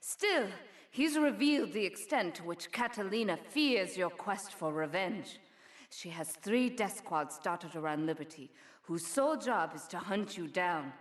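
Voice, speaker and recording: A woman's voice: on the low side, expressive, a little slow. An actress playing a cool, ruthless female crime boss in a video game, poised and matter-of-fact about violence. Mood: cool, calculating. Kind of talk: scripted video game cutscene acting.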